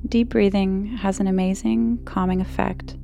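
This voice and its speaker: A woman hosting a sleep podcast, reading calm scripted narration in a slow, measured, soothing manner. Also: mid-range voice, creaky. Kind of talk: calm scripted bedtime narration, guided relaxation. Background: music.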